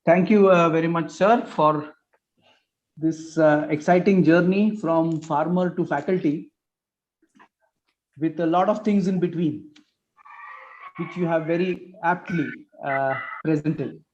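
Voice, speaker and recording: A man moderates an online talk in a very slow, formal and deferential way, and his voice sits somewhat high. The call audio is muffled, with faint noise behind it. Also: darkish tone. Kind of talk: hosting a webinar Q&A.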